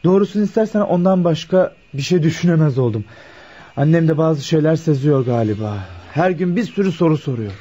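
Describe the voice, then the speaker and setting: An earnest young shopkeeper in a radio play, conservative and a little hesitant. He talks fast in a husky, mellow voice, and moralizes politely. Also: on the high side, animated. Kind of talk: Turkish radio drama acting. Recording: dull, lo-fi radio drama recording.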